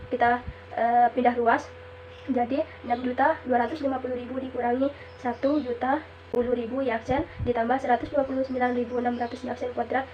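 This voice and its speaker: A young woman from Indonesia, a student presenter, reading out the steps of a math solution in a steady way with frequent "eh" fillers. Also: on the high side. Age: young adult. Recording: dull lo-fi recording with faint background noise.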